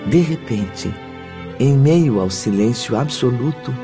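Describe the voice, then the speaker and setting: A man performing as a solemn, compassionate narrator of a radio drama, with a measured, theatrical delivery. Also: medium pitch, animated, music in the background. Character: solemn, compassionate male storyteller.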